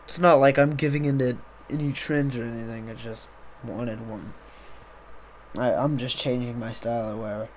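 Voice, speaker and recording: A young man, slightly hoarse, chatting casually on a live stream into a desk mic on a boom arm, rambling with many fillers. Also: fairly even intonation, moderate pace, a little hiss or hum. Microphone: desktop USB mic on a boom arm.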